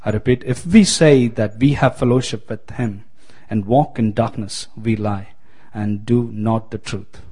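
Keralan man preaching with many pauses. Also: lowish voice, mellow, slightly rough. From Kerala, India. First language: Malayalam. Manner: hesitant, many fillers and restarts, friendly. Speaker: guest preacher. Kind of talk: sermon.

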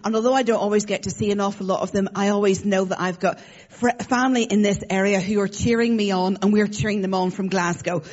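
A woman's voice: medium pitch, fairly even intonation, fast talker, a little mellow, lo-fi sound. A woman with an Irish accent, a guest preacher giving a conversational sermon, chatty and joking with frequent fillers. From Ireland.

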